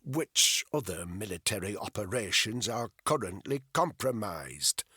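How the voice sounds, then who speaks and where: Man doing a measured male interrogator, slow and deliberate, polite but cold, dry close sound. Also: lowish voice, very animated, bright, forceful tone, slightly rough, no room sound, full character voice. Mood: stern. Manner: slow, clipped, polite with an edge. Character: stern, polite British male officer interrogating.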